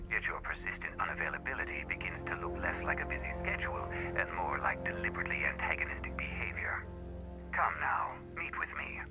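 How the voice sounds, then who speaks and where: An actor playing an imperious billionaire tycoon villain in a video game, leaving a formal, condescending phone message. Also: male voice, low voice, poor audio.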